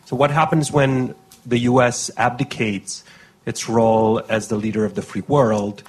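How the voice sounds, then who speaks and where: A man from Romania steps up to an audience microphone with a husky voice. He searches for his words, restarting phrases as he works his way toward the question. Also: mid-range voice, little pitch movement, a little slow. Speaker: audience member asking a question. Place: conference hall, at an audience microphone.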